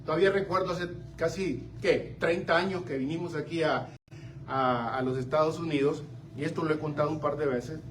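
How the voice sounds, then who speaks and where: An older man from Central America preaching a sermon, swinging between loud exclamations and quiet asides. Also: fairly high pitch, normal speed. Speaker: pastor. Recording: slightly distant mic with faint room noise.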